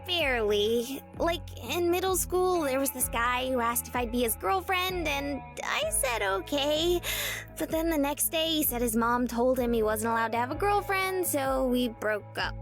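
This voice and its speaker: Young romantic lead, nervous and teasing, visual novel, very high swooping voice over clear music. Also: female voice, animated, normal speed. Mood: chatty, candid. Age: young adult. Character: Marina, nervous, chatty, teasing young woman in love. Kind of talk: visual novel game voice acting. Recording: music playing behind the voice.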